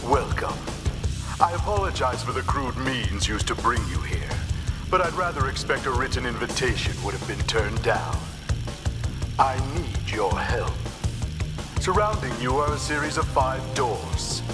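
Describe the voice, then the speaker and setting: A man's voice: medium pitch, animated, measured pace, lightly acted. A man playing a scheming, hot-tempered mad scientist in a cartoonish video game, with a pompous, sneering, theatrical delivery. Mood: falsely gracious. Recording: loud music behind.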